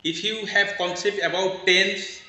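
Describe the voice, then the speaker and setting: A man gives earnest advice on learning to speak English, restarting his sentences now and then. His voice is fairly high and bright with some edge. He sounds like he is in a very echoey room. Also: fairly even intonation, measured pace. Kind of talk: casual practice conversation.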